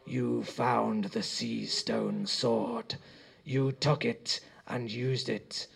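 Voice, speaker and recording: A man's voice: medium pitch, fairly even intonation, creaky, full character voice. A man doing a gloating ancient sea god. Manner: slow, archaic, gloating menace.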